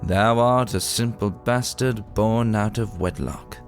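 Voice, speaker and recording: A man's voice: fairly low pitch, slow pace, creaky. A man voicing a haughty pony princess in a fantasy story, scornful and imperious, with archaic phrasing. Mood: contemptuous. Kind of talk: dramatic fantasy story reading. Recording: music behind the voice.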